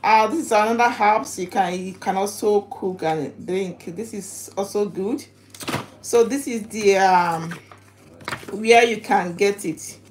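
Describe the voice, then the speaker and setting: A woman chatting casually to her livestream viewers while unboxing, halting and repeating herself, recorded in a home kitchen. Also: mid-range voice. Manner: halting, repetitive, chatty delivery. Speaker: livestream vlogger. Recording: noisy home recording with clicks.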